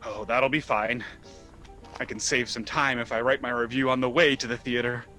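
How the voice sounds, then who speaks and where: A man's voice: mid-range voice, fairly even intonation, normal speed, pushed and bright, faint music behind, natural voice. A man playing a flustered, exasperated nephew in a radio comedy, stammering as he goes. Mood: hurried. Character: frantic, flustered theater critic nephew. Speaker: radio play actor. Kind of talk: theatrical radio comedy acting.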